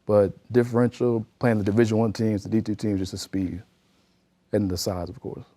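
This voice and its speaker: A man's voice: on the low side, flat delivery, normal speed, warm tone. A young man from Florida, a college basketball player answering interview questions in a polite, composed way. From Florida, USA. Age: young adult.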